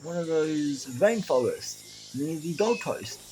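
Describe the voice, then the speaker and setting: A man's voice: on the high side, expressive, slow pace, soft background music. A man playing an eager, wry travelling companion in a fan audio drama. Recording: noisy ambience behind the voice.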